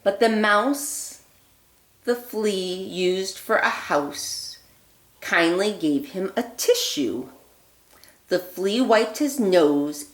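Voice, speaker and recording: A woman teacher reading a children's picture book aloud, phrasing deliberately and expressively with emphasis on the rhymes. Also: on the low side. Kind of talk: read-aloud storytelling for young children. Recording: echoey room.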